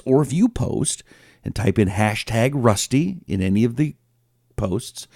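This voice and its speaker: A man's voice: fairly low pitch, warm tone, no room sound, clean studio sound. A man hosting with a casual, informal spoken introduction and promotion, appealing directly to listeners. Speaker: podcast host.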